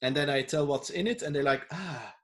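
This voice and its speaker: A Belgian man with a Flemish accent hosts the conversation in his second language, English. His voice sits a bit high, and he speaks haltingly, restarting phrases and tagging "eh?" onto them. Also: a bit bright. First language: Dutch. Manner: hesitant, halting, tag "eh?" fillers. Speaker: podcast host. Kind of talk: conversational interview.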